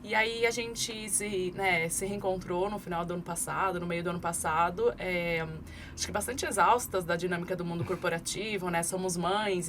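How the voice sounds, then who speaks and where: Articulate Brazilian businesswoman on a podcast. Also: on the low side. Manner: articulate, steady explanations with frequent "né" and "é" fillers. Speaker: podcast guest, marketing expert. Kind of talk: conversational podcast interview. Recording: clear background noise.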